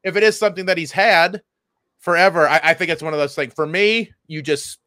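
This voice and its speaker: A man's voice: fairly high pitch, a little fast, sharp tone, no room sound, studio quality. A man who co-hosts a comedy podcast, chatting casually, stammering over repeated words and swearing freely. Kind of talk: casual chat.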